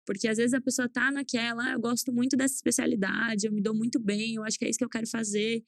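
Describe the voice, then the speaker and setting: A woman's voice: on the high side, little pitch movement, quick pace, dry recording, clean studio sound. A woman co-hosting a casual podcast, talking earnestly and giving advice the way she does to her students. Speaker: podcast co-host, teaches students.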